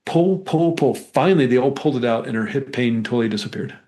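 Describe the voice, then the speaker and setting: A man speaking as an interview guest, a hypnotherapist explaining his work in a rambling, conversational way with repeated words and halting phrases. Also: mid-range voice, lively intonation, darkish tone.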